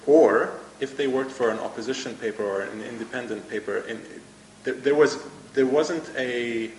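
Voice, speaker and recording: A man from Egypt, a native Arabic speaker, answering interview questions on stage in a halting way, often repeating words. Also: mid-range voice, a little mellow, a little hiss or hum. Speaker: guest cartoonist. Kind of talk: live event interview. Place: event hall with audience. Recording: echoey room with faint ambience.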